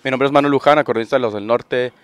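A man, an accordion player, chatting casually in a group interview, agreeable and quick to chime in. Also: medium pitch, fairly even intonation, dry recording. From United States. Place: outdoor garden patio. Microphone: stand mic.

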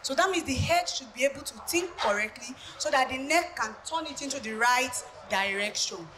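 A woman's voice: high-pitched, expressive, normal speed. A woman with a Nigerian Yoruba accent playing a bossy mother-in-law who lectures the couple in a live stage play. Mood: scolding lecture. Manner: scolding, lecturing, mixing Yoruba. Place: church hall stage. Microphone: handheld mic. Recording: echoey hall, noisy.

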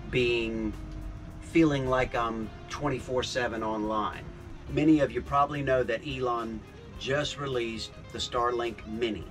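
An older man speaks at an ordinary mid-range pitch as he chats about his gear. His manner is relaxed and upbeat, with easy fillers. He is recorded by a camera a few feet off inside a small boat cabin, and music plays clearly under his voice. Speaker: sailing vlogger. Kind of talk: casual vlog update. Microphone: camera on a tripod a few feet away.

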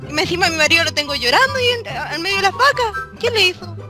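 A woman's voice: extremely high pitch, expressive, quick pace. A woman voicing an indignant farmer's wife with a Chilean accent, scolding on a prank phone call. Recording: music behind the voice.

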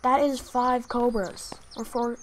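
A woman's voice: on the high side, fairly even intonation, takes it slowly, a little hiss or hum. A woman from Canada, chatting casually to viewers while narrating her gameplay into a new desktop microphone. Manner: chatty, thinking aloud to viewers. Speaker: gaming channel host.

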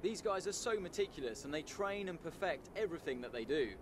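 A man giving measured, earnest scripted commentary about a race team. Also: fairly high pitch, lively intonation. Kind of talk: scripted broadcast narration. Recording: outdoor track ambience with engine noise.

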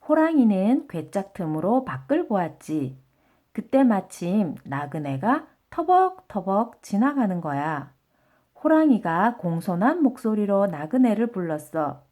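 A woman's voice: medium pitch, sing-song, unhurried, mellow. A woman narrating a children's storybook as bedtime reading. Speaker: storybook reader.

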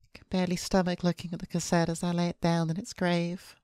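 Female streamer narrating a visual novel: deep for a woman, flat and subdued, dry close-mic sound. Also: quick pace, clear, resonant tone, no room sound. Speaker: VTuber streamer. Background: faint game music.

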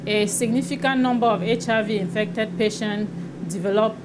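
Measured woman explaining her research. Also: medium pitch, fairly even intonation, over music, low-quality recording. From Cameroon. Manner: measured, explanatory, careful pauses. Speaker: associate professor, researcher. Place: indoor lab with shelves of bottles.

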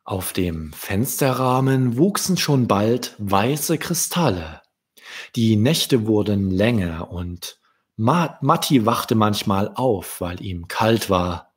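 A man's voice: lowish voice, animated, slow pace, slightly rough. A man in his thirties from Germany reading his own children's story as a narrator, close to a microphone. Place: small wood-panelled room indoors. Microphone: desk microphone close in front.

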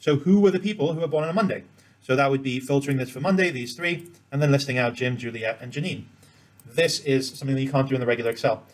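A man's voice: mid-range voice, fast talker. A man presenting an informal screen-share tutorial, explaining each step as he demonstrates it. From Cambodia.

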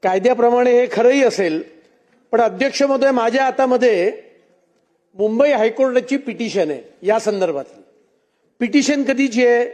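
A man's voice: extremely high pitch, moderate pace, darkish tone. A man speaking as a legislator in formal debate, insistent, posing rhetorical questions. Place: legislative assembly chamber.

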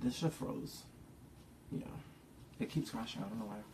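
A young man in his twenties, chatting excitably and dramatically on a home livestream. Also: medium pitch, normal speed. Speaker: livestream co-host. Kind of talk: casual livestream chat. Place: living room at home.

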